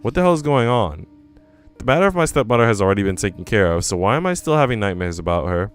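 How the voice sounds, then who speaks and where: An anxious young woman tells her own story in a visual novel, read by a man in a low voice. The delivery swings expressively and questions itself dramatically. The sound is clean and smooth, with faint music behind it. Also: sing-song, fast talker. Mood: troubled. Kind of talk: visual novel first-person reading.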